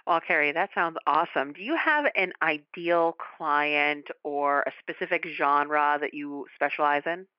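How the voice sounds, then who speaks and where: A woman's voice: deep voice, measured pace, few pauses, dry recording, highs cut off. A woman hosting a podcast interview, asking long, friendly, rambling questions. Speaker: podcast host.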